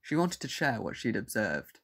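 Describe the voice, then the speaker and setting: A man's voice: medium pitch, very animated, normal speed, gravelly, fairly bright tone, heavily acted. A man narrating a scripted science fiction audio drama as its storyteller. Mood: measured storytelling.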